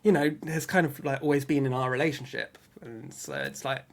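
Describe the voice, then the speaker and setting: A man's voice: medium pitch, brisk, vocal fry. A man chatting casually as an interview guest, explaining things informally and often peppering his sentences with "like".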